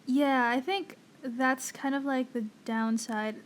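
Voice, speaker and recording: Young woman cohosting a podcast, voice kinda worn out, talks slow, a bit high. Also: fairly even intonation. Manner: unhurried, says "like" a lot. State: losing her voice. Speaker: podcast co-host. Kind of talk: casual podcast chat.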